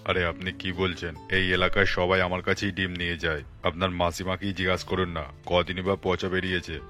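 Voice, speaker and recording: A salesy cartoon egg seller, acted by a man, full of assurances. His voice is low and forceful with little melody, over faint music. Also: level tone, brisk. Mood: assuring, boastful. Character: boastful, salesy poultry farm egg seller. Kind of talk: cartoon voice acting.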